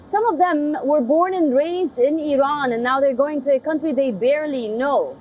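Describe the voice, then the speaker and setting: A woman news anchor reading studio introductions in a crisp, measured delivery. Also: high-pitched, a little mellow, slight background noise.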